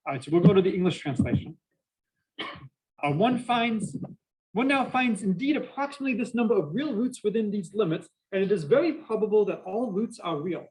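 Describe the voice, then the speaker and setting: A middle-aged man with a Boston accent giving a university math lecture, lively and full of asides and questions to the class. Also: sits high, low-quality recording. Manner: energetic, prone to asides and questions to students. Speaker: math professor.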